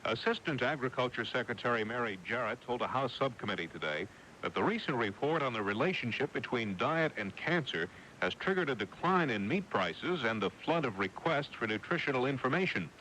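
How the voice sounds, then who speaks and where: A man's voice: mid-range voice, lively intonation, a little fast, pushed and bright. An American man anchoring a radio newscast, reading scripted news stories. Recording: noisy 1980s AM radio broadcast.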